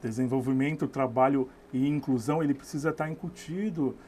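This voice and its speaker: A man hosting a studio TV interview, measured and prompting his guest. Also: medium pitch, fairly even intonation, dark tone, slight background noise. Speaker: TV interview host.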